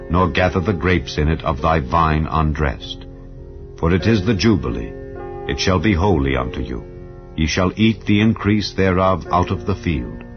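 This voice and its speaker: Deep-voiced man reading scripture solemnly. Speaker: Bible reader. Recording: dull lo-fi audio with faint background music. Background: soft ambient music.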